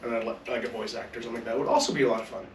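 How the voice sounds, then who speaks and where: A man's voice: mid-range voice, very fast. A young man co-hosting a casual gaming podcast, joking, swearing freely and tripping over his words. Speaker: podcast co-host. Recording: roomy echo, distant mic, faint noise.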